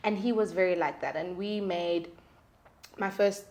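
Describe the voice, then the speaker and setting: A woman's voice: lowish voice, moderate pace, a little mellow. South African woman, casual musician guest. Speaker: guest, singer-songwriter and independent artist. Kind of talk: casual conversational interview.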